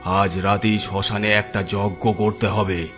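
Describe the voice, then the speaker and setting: A grave village holy man and exorcist, played by a man, with a low voice. He gives solemn, authoritative instruction in a horror cartoon, over faint background music. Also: flat delivery, measured pace, natural voice. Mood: grave warning. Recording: music behind the voice.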